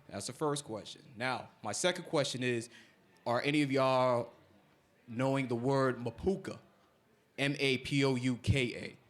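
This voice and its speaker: A man in his thirties from New Jersey asking a roundabout question from the audience of a community panel. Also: medium pitch. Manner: roundabout, many fillers. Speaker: audience member.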